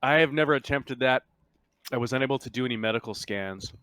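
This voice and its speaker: A man acting as game master in a tabletop roleplaying game, speaking haltingly in character. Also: mid-range voice, a bit bright, smooth, dry recording.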